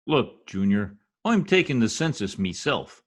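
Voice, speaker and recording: An actor playing a blustery, exasperated census taker husband with slangy talk in old-time radio comedy style. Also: male voice, on the low side, sing-song, a little slow.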